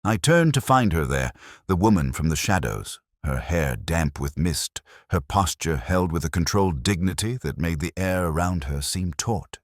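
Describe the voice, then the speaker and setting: A man narrating a literary detective story in the first person, with a solemn, measured, brooding delivery. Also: sits low, expressive, no room sound, clean studio sound.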